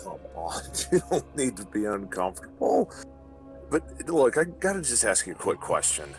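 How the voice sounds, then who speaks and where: A man's voice: fairly low pitch, very animated, soft background music. A man acting a creepy, domineering online creep. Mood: creepy coaxing. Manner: coaxing, menacing, halting. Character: creepy, wheedling, domineering adult male online predator. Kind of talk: voice call conversation.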